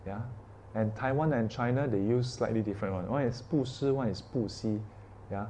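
Teacher, male, mellow, conversational, quizzes the room, slight noise under him. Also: lowish voice, moderate pace. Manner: chatty, asks the class questions, frequent "yeah" and "ah". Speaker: Buddhist teacher. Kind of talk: informal dharma class talk.

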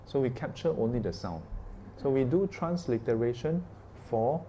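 A man's voice: medium pitch, lively intonation, moderate pace, mellow, highs cut off, a little hiss or hum. A man teaching an informal Buddhist class, chatty and asking his listeners questions, often tagging sentences with "yeah" and "ah". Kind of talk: informal dharma class talk.

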